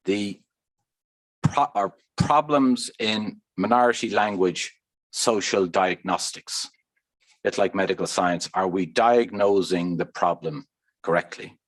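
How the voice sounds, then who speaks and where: A man with an Irish accent giving frank, earnest remarks as a researcher on a conference panel, laying out his points one by one. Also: lowish voice. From Ireland.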